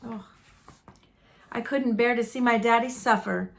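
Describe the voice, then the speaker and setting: A woman reading a children's picture book aloud as a storyteller, in an expressive, folksy and dramatic manner. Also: on the high side, gravelly. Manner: folksy, dramatic, deliberate delivery.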